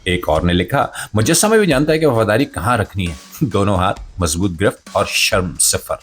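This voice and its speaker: A man's voice: lowish voice, big pitch swings, normal speed. A man hosting a satirical commentary show, delivering a scripted monologue in a theatrical, mock-solemn way.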